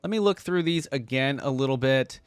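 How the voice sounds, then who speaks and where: A man's voice: medium pitch, a little fast, clean tone, no room sound. A man hosting a casual reaction video, talking informally and thinking aloud as he comments. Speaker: video creator and host.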